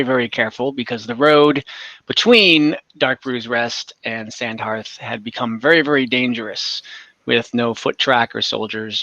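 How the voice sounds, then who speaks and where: A man with a bright, forceful voice narrates a tabletop fantasy game as its game master. His delivery is conversational and halting, with self-corrections along the way. The sound is dry and close. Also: medium pitch, no echo, dull audio. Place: online game session over voice and video call.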